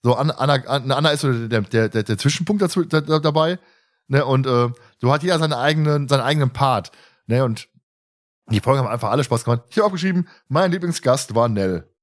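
A man with a mid-pitched voice hosts a casual fan podcast. He talks informally and rambles, often doubling back to repeat a word or phrase. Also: quick pace. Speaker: podcast host.

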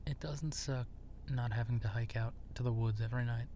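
A man playing a friendly, self-effacing werewolf in human form in an intimate roleplay audio drama, speaking in a halting, conversational way. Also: medium pitch, steady tone, dark tone, slight background noise, lightly acted. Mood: friendly, grateful.

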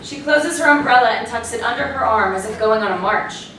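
A woman's voice: fairly high pitch, fairly quick, bright, forceful tone. A woman reading scripted stage directions as narrator at a staged play reading. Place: small theatre, recorded from audience. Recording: very echoey room, distant mic, faint noise.

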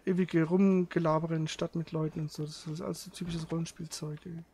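A man with a high, creaky voice comments casually on a video game as the host. He is blunt and grumbling, swearing freely as he talks. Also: level tone, a little fast, warm tone, slight background noise. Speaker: let's play host.

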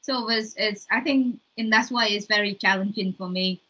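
Young woman, Vietnamese accent, bright voice, casual video-call interviewee. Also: mid-range voice, normal speed, low-quality recording. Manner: chatty, with fillers and non-native grammar. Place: room at home, indoors.

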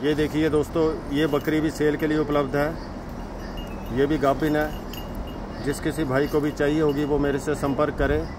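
Man pitching goats with a flat voice. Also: unhurried. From Himachal Pradesh. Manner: repetitive sales pitch, addresses viewers as friends. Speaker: goat farm seller. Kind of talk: walk-around sales video. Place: outdoors at a goat farm. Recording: outdoor noise in background.

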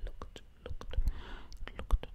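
A young woman in a breathy whisper, doing an ASMR roleplay ramble as a quirky zombie princess, close to the mic and repeating words over and over. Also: drawn-out words. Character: quirky zombie princess time-traveler from the 1920s. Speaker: ASMR performer. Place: indoors, living room. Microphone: close microphone, ear to ear.

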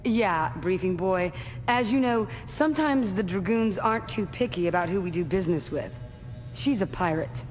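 A woman's voice: medium pitch, normal speed, lightly acted. Actress doing tough terse lady major, video game cutscene, big reverb, some music under. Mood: dry, wary. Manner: terse, authoritative, dry. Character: tough commanding female military mercenary major.

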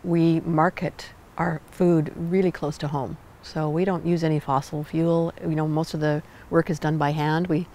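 Low-voiced older woman farmer. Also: normal speed, hardly any pauses. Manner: earnest, relaxed, with fillers. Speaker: urban farmer. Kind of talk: documentary interview. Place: outdoors on a farm. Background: guitar music.

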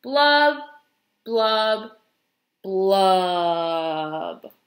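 A woman's voice: medium pitch, animated, extremely slow, reverberant. A woman voicing a gloomy, resigned male fish from a storybook, in mournful singsong rhyme.

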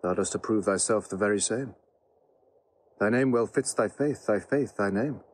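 An actor playing a noble Roman general, commanding yet fatherly, in a Shakespearean audio drama, with measured, authoritative verse delivery. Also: lowish voice, close to an everyday voice, low-quality recording. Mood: gentle.

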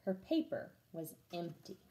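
Animated young woman reading to children. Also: fairly low pitch, a little slow. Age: young adult. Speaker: teacher reading a story to children. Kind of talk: read-aloud picture book narration. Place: room at home.

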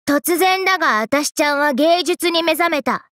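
A woman's voice: high-pitched, normal speed, fairly bright tone, no echo, clean studio sound. A woman voicing a brash, playful, hyper young woman from an anime game, with cocky, slangy, teasing delivery. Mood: excited, boastful. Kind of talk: Japanese anime game character voice lines.

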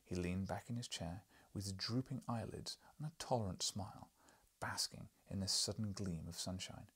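A man's voice: sits low, normal speed, fairly bright tone, slightly rough. A man in his thirties reading literary first-person narration for an audiobook. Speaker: audiobook reader. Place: small book-lined living room, indoors.

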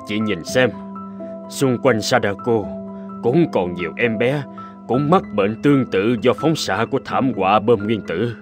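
A man voicing a calm, reassuring doctor in a cartoon, speaking in a measured, formal way. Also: fairly low pitch. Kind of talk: animated cartoon dubbing.

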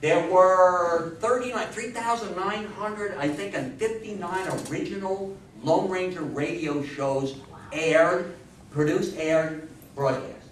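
Man in his sixties, high voice, animated lecturer, emphatic repetition, questions to listeners, distant recording with some noise. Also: slow pace. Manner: emphatic storytelling, repeats phrases, asks the audience questions. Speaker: lecturer on old-time radio history. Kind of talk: animated public talk to an audience. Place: meeting room with seated older audience. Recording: roomy, distant camera mic with background noise.

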